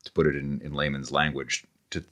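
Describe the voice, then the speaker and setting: A man speaking as an interview guest, explaining ideas conversationally in a halting, searching, scholarly way with frequent self-corrections. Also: extremely low pitch, level tone, fairly bright tone. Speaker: guest author.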